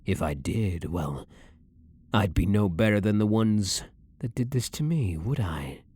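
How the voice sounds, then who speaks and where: A blunt, brooding vampire hunter, acted by a man for an ASMR roleplay. The voice is very low and husky, with slow, measured phrasing that swings expressively in pitch. He sounds controlled and dominant, speaking softly to the listener. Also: lively intonation. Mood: hungry, self-loathing. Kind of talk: ASMR roleplay, dramatic monologue.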